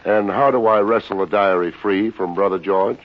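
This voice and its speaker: An actor playing a wisecracking, unsentimental private investigator in an old radio detective drama. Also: male voice, on the low side, moderate pace, lightly acted. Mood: wry. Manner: wry, hard-boiled, slangy. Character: wisecracking, unsentimental male private investigator narrating his case. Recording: dull, noisy old radio recording.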